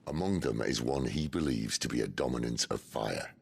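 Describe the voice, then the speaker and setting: A man playing a wry, gruff middle-aged rebel leader in a video game, with a British accent and dry authority. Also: a bass voice, animated, normal speed, full character voice. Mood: persuasive. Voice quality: gravelly. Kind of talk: cinematic video game acting.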